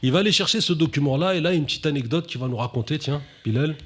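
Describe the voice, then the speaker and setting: A man hosting a live talk, animated, who often drops Arabic religious phrases and lifts his voice to stress points. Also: fast, breathy edge. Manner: animated, rising pitch when stressing points. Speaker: conference host. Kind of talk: conversational talk on stage.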